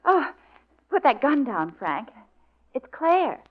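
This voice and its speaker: A quick-witted young American girl Friday, arch and coquettish in a fairly high voice. It is old radio drama acting with slightly muffled sound. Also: big pitch swings, full character voice. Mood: coy, wheedling. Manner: arch, playful. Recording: muffled vintage radio recording.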